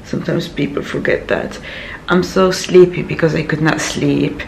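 A woman in her thirties, croaky from little sleep, chatting casually to a handheld camera in her small apartment, rambling with many fillers. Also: low-pitched, fairly even intonation, normal speed, darkish tone, a little hiss or hum, poor audio. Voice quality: gravelly. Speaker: vlogger. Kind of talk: casual vlog talk to camera. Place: small apartment bedroom, indoors. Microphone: handheld camera at arm's length.

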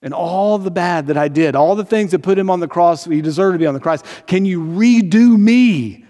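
An older male pastor delivers a sermon in a fairly high voice. He talks to listeners conversationally, checking in with questions and leaning slowly on key phrases. The sound carries the long reverb of a large church sanctuary. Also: warm tone. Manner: conversational, retelling with rhetorical questions and tag "right?". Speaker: pastor preaching.

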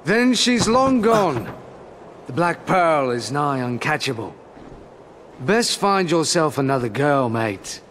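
Swaggering pirate rogue, lightly raised pitch, flippant, sly, theatrical, game dialogue. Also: male voice, big pitch swings, takes it slowly, a bit bright, a little hiss or hum, heavily acted. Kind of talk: video game voice acting.

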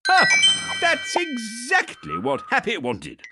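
A woman's voice: fairly high pitch, wildly swooping pitch, slow pace. A woman narrating a children's game in an encouraging, praising, sing-song way. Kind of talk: scripted narration for young children. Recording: game audio over loud music.

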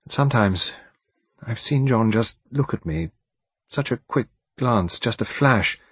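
A man's voice: medium pitch, expressive, measured pace, breathy edge, no room sound. A man reading an audiobook, voicing an earnest, determined young woman who speaks insistently. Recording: dull lo-fi recording.

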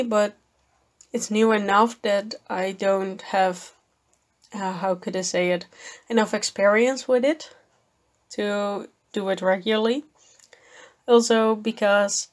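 Slow-talking woman losing her voice. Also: fairly high pitch. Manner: slow, thoughtful, with fillers and small laughs. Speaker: art channel host. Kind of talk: voice-over commentary on a painting video.

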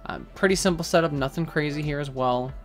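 A man giving a relaxed, casual walkthrough of a game over gameplay, with frequent fillers. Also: on the high side, fairly quick, faint music behind. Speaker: gaming guide creator.

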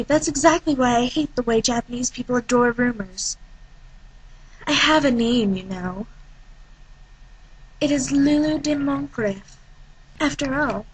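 A woman's voice: a little high, breathy edge, no room sound, low-quality recording. A woman voicing a snooty, self-important young lady, prim and condescending, in an anime fan dub audition.

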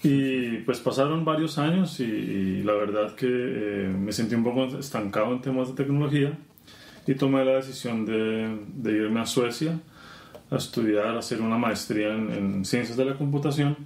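A Colombian man guest talking casually about his work in software testing, relaxed with many fillers. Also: mid-range voice, moderate pace, vocal fry. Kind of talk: casual interview. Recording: roomy conference venue.

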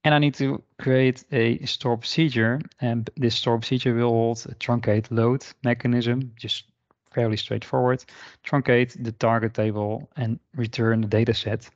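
Man giving a software demo, slightly dull sound. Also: mid-range voice, little pitch movement, moderate pace, no room sound. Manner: explanatory, informal. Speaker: software demonstrator. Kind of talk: screen-share tutorial narration, unscripted. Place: indoor voice-over for screen recording.

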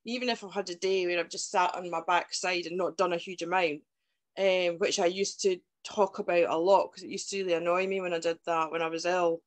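A woman in her forties with a Scottish accent from Fife, talking as a guest over a video call, quick and rambling. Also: on the low side, steady tone. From Scotland. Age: 40s. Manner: fast talker, rambling, reflective. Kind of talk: casual video-call interview. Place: indoor room at home.

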